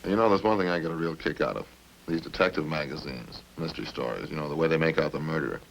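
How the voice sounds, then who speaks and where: Gravelly male voice, talkative killer suspect, casual needling, smug, folksy, old radio crime show with faint hiss. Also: rumbling low, quick pace, poor audio. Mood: chatty, smug. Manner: folksy, casual, cagey, rambling. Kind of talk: 1950s radio crime drama acting. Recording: hissy old radio recording.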